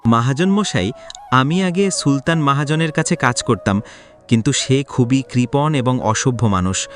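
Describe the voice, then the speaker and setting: Male actor as poor cartoon farmhand, humble, deferential, pleading, mid-pitched. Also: measured pace, soft background music, lightly acted. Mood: aggrieved. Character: humble, flattering poor farm labourer, male. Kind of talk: cartoon dialogue acting.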